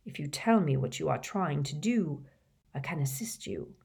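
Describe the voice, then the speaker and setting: A woman voicing a cold, menacing male schoolmaster who speaks low, quiet and curt. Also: expressive, normal speed, gravelly, husky, full character voice.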